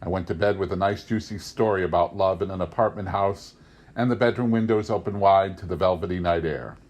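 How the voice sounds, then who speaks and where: A man's voice: low-pitched, brisk, a little mellow, lo-fi sound. Man reading a novel aloud. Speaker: reader of an audiobook. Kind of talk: expressive scripted storytelling, reading a memoir novel aloud.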